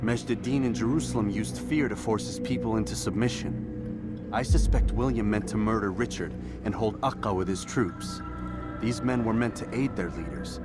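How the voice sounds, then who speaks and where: A low male voice from an actor plays a young assassin, serious and sure of himself. He speaks tersely and plainly, with restraint, over loud game music. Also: normal speed, lightly acted. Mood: questioning, skeptical. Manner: terse, restrained, matter-of-fact. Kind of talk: video game cutscene acting.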